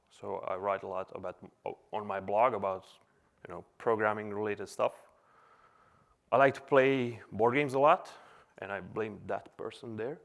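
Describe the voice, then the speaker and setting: Casual Serbian man giving a conference talk. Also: lowish voice. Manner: casual, chatty with filler words. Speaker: conference speaker. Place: conference hall.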